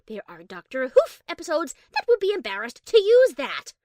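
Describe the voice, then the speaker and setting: A woman voicing a prim high-class pony in a fan audiobook, formal, haughty and drily sardonic, yet fond. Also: wildly swooping pitch, moderate pace, darkish tone, dry recording, heavily acted. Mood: haughty, amused. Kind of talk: fan audiobook character acting.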